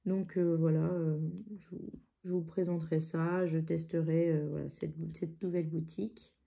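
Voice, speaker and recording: A woman's voice: low-pitched, flat delivery, normal speed, vocal fry, dark tone. A woman with a blocked nose from a cold, chatting casually and hesitantly at her craft table, full of fillers and restarts. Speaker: craft channel host. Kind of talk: casual chat while crafting. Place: home craft table, indoors.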